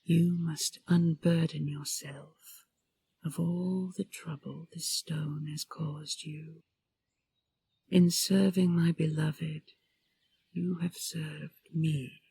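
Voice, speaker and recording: Slow, gravelly, breathy dragon queen. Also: deep voice, fairly even intonation, mellow, full character voice. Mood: haughty, softening. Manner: slow, regal, commanding. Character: ancient imperious female dragon queen, stern, possessive, condescending. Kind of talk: audio roleplay drama.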